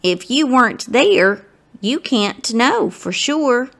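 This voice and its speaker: A woman reading a children's story aloud as a guest reader, expressive and dramatic, speaking directly to her listeners. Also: a little high, takes it slowly, gravelly.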